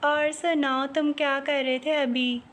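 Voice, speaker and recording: A woman with a high voice makes casual small talk, as if on a phone call. Her intonation stays very flat and even. She keeps to short acknowledgements and simple, everyday questions. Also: a little slow.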